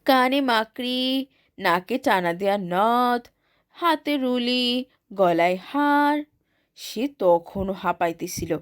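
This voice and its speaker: A woman reading a classic short story aloud in a formal literary style, with expressive delivery on a close mic. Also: fairly high pitch, takes it slowly, pauses often, smooth, no echo.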